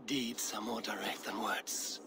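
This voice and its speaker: An actor playing a bold, blunt Viking warrior in a dramatic game cutscene, speaking in a direct, terse way. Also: male voice, lowish voice, fairly even intonation, measured pace, background noise, close to an everyday voice. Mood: tender, reserved.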